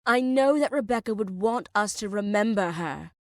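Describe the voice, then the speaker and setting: A woman's voice: medium pitch, lively intonation, moderate pace, a little mellow, no room sound, studio quality, natural voice. A woman voicing a composed gallery owner in an audiobook, formal and controlled, with a British accent. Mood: composed, apologetic. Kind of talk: audiobook character voice.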